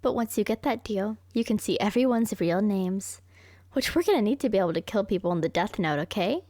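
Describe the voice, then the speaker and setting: A woman's voice: mid-range voice, animated, a little fast, darkish tone, dry recording, clean studio sound, natural voice. A woman playing a smug, brilliant, manipulative young serial killer girlfriend in an anime roleplay, boastful and coaxing. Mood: sweetly manipulative. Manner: boastful, coaxing, self-satisfied.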